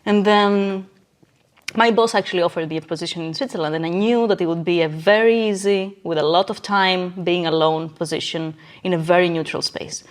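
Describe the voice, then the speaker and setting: A woman's voice: fairly low pitch, a little fast. A woman reflecting on personal experience in casual podcast conversation, emphatic and often checking in with the listener. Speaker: podcast guest.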